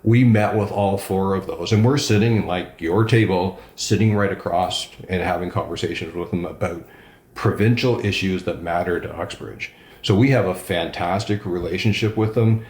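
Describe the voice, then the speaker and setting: A man's voice: low voice, moderate pace, reverberant. A man, a regional councillor, answering interview questions with emphatic enthusiasm. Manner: enthusiastic, emphatic, self-described loud voice.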